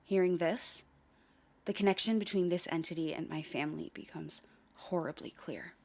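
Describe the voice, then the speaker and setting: A woman's voice: fairly low pitch, steady tone, moderate pace, gravelly, a little mellow. An actress playing a shaken but determined young woman, telling her story in earnest, confiding first-person narration for an audio drama. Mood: confiding, grave.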